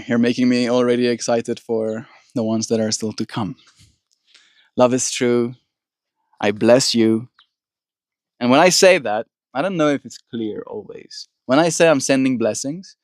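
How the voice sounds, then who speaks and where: Gentle Afrikaans-speaking man, dry close sound. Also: medium pitch, no echo. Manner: gentle, warm-hearted sign-offs with soft blessings. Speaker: live stream host. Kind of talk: reading a poem aloud and chatting with viewers.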